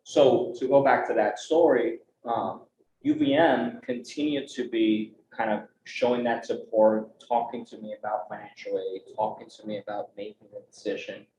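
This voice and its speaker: A man's voice: fairly low pitch, fairly even intonation, moderate pace, mellow. Man speaking on a conference panel. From United States. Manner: earnest, conversational, frequent "right?" tag questions. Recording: roomy, dull, faint background noise.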